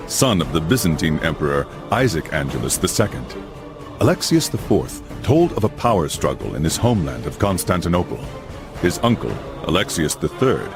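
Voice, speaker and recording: An actor playing a grave older crusader father who narrates medieval history formally in a video game. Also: deep voice. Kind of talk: scripted dramatic narration.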